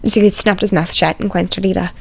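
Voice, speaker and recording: A woman's voice: mid-range voice, fairly even intonation, fast, gravelly, a little hiss or hum. A woman reading scripted fiction as an audiobook narrator.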